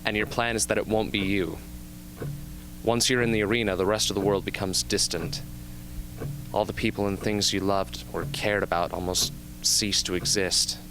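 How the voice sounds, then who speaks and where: A man playing a young, haunted but earnest arena survivor with intense, deliberate delivery in an amateur radio drama. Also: fairly low pitch, level tone, background noise, lightly acted. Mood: haunted, reflective. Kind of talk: amateur radio drama acting, interview scene.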